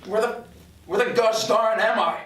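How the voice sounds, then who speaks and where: A bewildered, folksy patient, played by a man in a fairly high male voice, stumbles and repeats himself in halting confusion. The recording is distant and echoey. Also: fairly bright tone. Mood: startled. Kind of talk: script table read. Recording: echoey room from far off, noisy.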